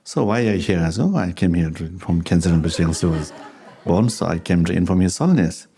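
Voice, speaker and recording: Low, mellow-voiced man teaching with an accent. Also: animated. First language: Tibetan. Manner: unhurried, conversational, chuckles while telling anecdotes. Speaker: Buddhist teacher. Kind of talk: dharma talk with question and answer.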